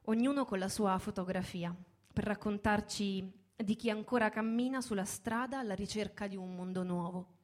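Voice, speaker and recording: A woman in her thirties introduces a live theatrical reading in a reflective, halting way, with pauses and repeated words. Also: medium pitch, steady tone. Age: 30s. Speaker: host and presenter of a live reading.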